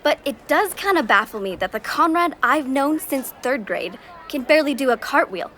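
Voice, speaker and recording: A woman's voice: high-pitched, normal speed, a little hiss or hum. An eager, obsessive teen girl. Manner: excited and insistent. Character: eager, obsessive teenage history nerd girl. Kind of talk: audio drama acting.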